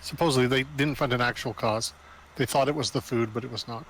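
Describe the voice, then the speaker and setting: A man with a medium-pitched voice speaks casually as a tabletop game player. A little noise is audible behind him. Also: fairly even intonation, quick pace, a bit bright.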